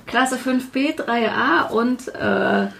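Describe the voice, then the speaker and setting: A woman's voice: fairly high pitch, takes it slowly, vocal fry, fairly bright tone. A woman hosting a casual podcast chat in a playful, informal manner. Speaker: podcast host. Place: kitchen.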